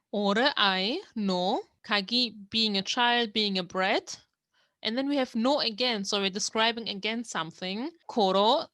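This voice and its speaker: Bright-voiced woman explaining words slowly. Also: mid-range voice, no room sound. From Germany. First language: German. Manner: slow, careful explanation of words. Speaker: language-learning channel host. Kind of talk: reading and translating manga line by line.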